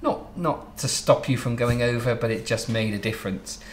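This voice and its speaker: A man in his thirties from Southwest England hosting a relaxed interview, chatting and explaining at length. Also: medium pitch, moderate pace, echoey room, slight background noise. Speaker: interview host, wedding photographer. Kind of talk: casual interview. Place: home studio office, indoors.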